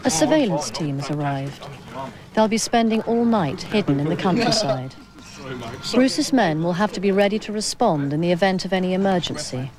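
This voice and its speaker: A woman narrating a documentary in a measured, matter-of-fact way. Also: on the low side, animated, a loud crowd.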